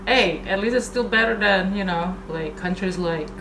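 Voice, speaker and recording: A woman's voice: fairly low pitch, moderate pace. Easygoing woman in an echoey room. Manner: easygoing, agreeable, uses "like" often. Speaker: podcast co-host. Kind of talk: casual chat. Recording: echoey room with background noise.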